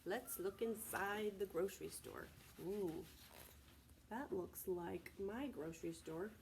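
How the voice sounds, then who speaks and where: Slow-paced woman teaching preschoolers. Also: medium pitch, vocal fry, a little mellow, slight background noise. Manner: slow, deliberate, child-directed with frequent prompting questions. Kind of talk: read-aloud and sing-song circle time for young children.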